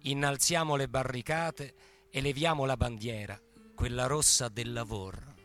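A man's voice: mid-range voice, fairly even intonation, moderate pace, a bit bright, soft background music, lightly acted. A man acting a defiant, insistent worker protester in theatrical radio drama. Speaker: actor and singer in a radio play.

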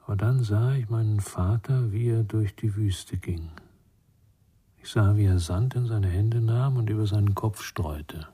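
Low, husky older man, reflective. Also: measured pace, dark tone, dry recording. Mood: reflective, gentle. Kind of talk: German radio crime drama acting.

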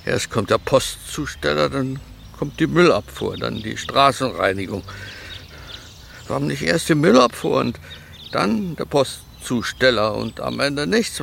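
Dazed composer, slow wandering speech, swooping pitch, aggrieved, radio play, outdoor noise behind. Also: male voice, fairly bright tone, heavily acted. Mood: puzzled, rambling. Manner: rambling, erratic, jumping between questions. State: woozy from pills and injection. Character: addled, rambling, aggrieved male composer, woozy from medication. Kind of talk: radio drama acting. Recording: clear background noise, outdoor ambience.

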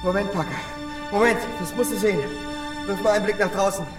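A man's voice: high voice, steady tone, fast talker, lightly acted. An actor playing a desperate, pleading male fugitive in a German radio crime drama. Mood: urgent, pleading. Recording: distant voice, music behind.

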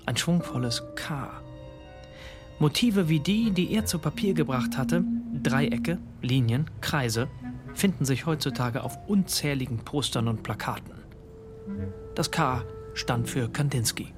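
An actor playing a fascinated grandson who narrates a radio drama in the first person, in a musing way with clipped phrases. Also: male voice, on the high side, lightly acted. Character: reflective grandson, fascinated male narrator of a discovery. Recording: music behind the voice. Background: music.

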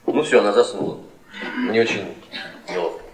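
Low-voiced awkward young man played by an actor, echoey. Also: normal speed, close to an everyday voice. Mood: embarrassed. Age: young adult. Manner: hesitant, embarrassed, polite. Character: awkward, polite young academic guest, hesitant and uneasy. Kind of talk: Soviet radio theatre acting. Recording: echoey room, far from mic.

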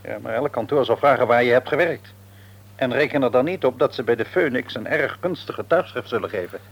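An actor playing a busy, authoritative middle-aged father and office manager in a radio drama, brisk and businesslike. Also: medium pitch, natural voice. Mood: stern.

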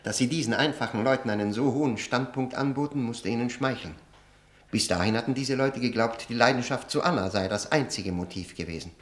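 An actor in a German radio play playing a bitter, ironic, educated convict who speaks deliberately and pauses for effect. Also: male voice, mid-range voice, fairly bright tone, reverberant, lightly acted. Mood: bitter, accusing.

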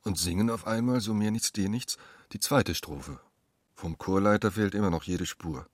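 A man's voice: on the low side, normal speed, no room sound, clean studio sound. A man delivering scripted dramatic narration in a radio play.

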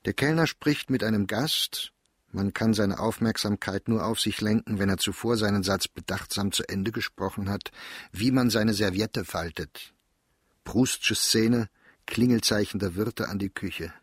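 A man reading diary entries aloud for a radio drama in a measured, reflective, understated way. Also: fairly low pitch, breathy edge, no echo, clean studio sound.

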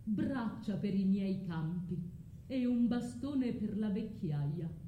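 Weary old king without heirs played by an actress, grave and slow, pitched down, radio theatre. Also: animated, mellow, reverberant, a little hiss or hum. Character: Aegeus, ageing childless male king seeking heirs, weary.

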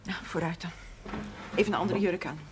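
A woman's voice: fairly low pitch, fairly even intonation, brisk, background noise, close to an everyday voice. An actress playing a reluctant mother in a naturalistic 1970s TV drama. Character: reluctant mother who gives in softly.

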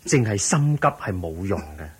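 A kindly buddha in a cantonese radio play, hong kong accent, low measured voice, lots of melody, a bit of hiss. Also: male voice, big pitch swings. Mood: instructive, soothing. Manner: measured, gently instructive. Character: Buddha, calm, patient, kindly male teacher. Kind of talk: radio drama acting.

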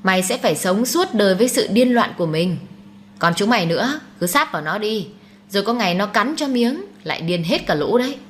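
A woman's voice: medium pitch, animated, a little fast, natural voice. A woman narrator acts a scheming second wife in an audiobook melodrama. She is contemptuous and mocking, a spiteful villain. The recording has some background noise. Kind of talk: dramatised audiobook storytelling.

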